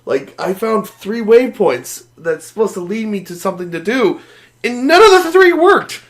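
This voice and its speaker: A man co-hosting a casual gaming podcast, sniffly from a cold, excitable and swearing freely. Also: high voice, normal speed. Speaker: podcast co-host.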